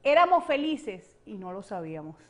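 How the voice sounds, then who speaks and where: A woman's voice: medium pitch, big pitch swings, normal speed, a bit bright. A Venezuelan woman, a political analyst and guest, speaking with passion and emphatic repetition in a studio interview. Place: television studio set.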